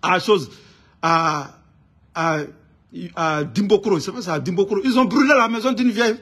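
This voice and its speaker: A man from Ivory Coast with an Ivorian accent and a husky voice, delivering an informal, indignant political rant, emphatic and repeating his phrases insistently. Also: high-pitched, moderate pace, fairly bright tone. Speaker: online political commentator.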